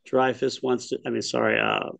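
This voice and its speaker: A man's voice: mid-range voice, wildly swooping pitch, moderate pace, gravelly, fairly bright tone, dry recording. A middle-aged man teaching an adult history class over a video call, thinking aloud, correcting himself and quizzing his listeners. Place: home study with bookshelves. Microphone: webcam on a video call.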